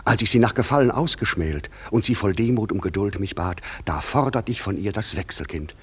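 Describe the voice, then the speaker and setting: An actor playing a proud, commanding fairy king in a classic radio play, declaiming verse in a measured, authoritative way. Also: expressive. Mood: pitying, reflective. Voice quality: husky, low.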